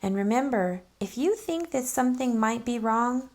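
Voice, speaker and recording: A woman narrating for children in an expressive, deliberate and instructive read-aloud style. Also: a little high. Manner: deliberate, instructive, addressing young listeners.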